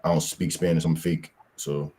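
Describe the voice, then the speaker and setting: A young man with an extremely deep voice and a husky edge tells about his football and track years. His talk is loose and rambling, full of slang and "like" fillers, in a casual interview. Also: level tone, normal speed, dark tone. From Pennsylvania. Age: young adult. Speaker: football player guest.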